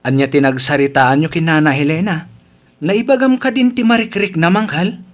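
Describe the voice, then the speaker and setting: A man's voice: a little high, a little fast, natural voice. A man playing a nosy, encouraging young nephew in a comedy radio drama. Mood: curious, encouraging.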